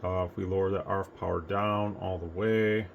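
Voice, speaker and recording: Deep-voiced man casually demonstrating gear. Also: steady tone, brisk, vocal fry, highs cut off, a little hiss or hum. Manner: rambling, hands-on explaining. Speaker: radio equipment reviewer. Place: home room with radio test equipment. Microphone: phone camera.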